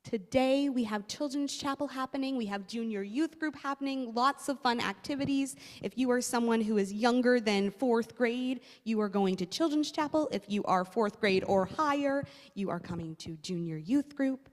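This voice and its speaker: A woman's voice: a little high, normal speed. A woman leading a playful children's segment in a church service, speaking in an upbeat, friendly way. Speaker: children and youth program leader. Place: church sanctuary. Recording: long church reverb.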